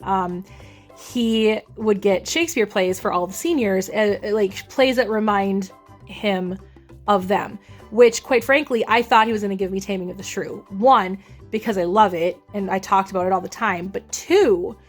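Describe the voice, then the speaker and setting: Chatty young woman with a deep voice. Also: normal speed, soft background music. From Minnesota, USA. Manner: rambling, chatty, many ums and self-corrections. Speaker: vlogger. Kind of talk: casual chat.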